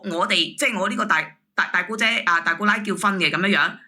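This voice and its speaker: A woman caller airing a family grievance, repeating her words haltingly as she argues. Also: medium pitch, pushed and bright. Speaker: caller, aggrieved sister-in-law. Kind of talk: airing a family dispute on a call-in show.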